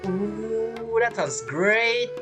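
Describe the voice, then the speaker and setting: A woman's voice: a little high, extremely slow, loud music behind the voice. A woman giving chatty, casual commentary as she plays through a game, reacting aloud. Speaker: gamer commenting on gameplay.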